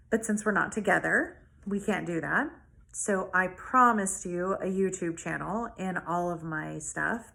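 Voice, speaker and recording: Woman teacher with a slightly creaky voice. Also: medium pitch, a bit bright. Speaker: elementary school teacher. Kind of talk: casual talk to pupils and storybook read-aloud.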